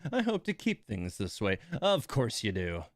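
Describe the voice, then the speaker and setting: A man hosting a game livestream, reacting casually in a rambling, hesitant way with drawn-out emphasis. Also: lowish voice, huge pitch swings. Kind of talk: casual live commentary reacting to game voice lines.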